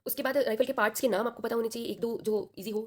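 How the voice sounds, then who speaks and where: A woman's voice: on the high side, steady tone, rapid-fire. A young woman with a sore throat teaching an online class, chatty and reassuring, checking in with her students often.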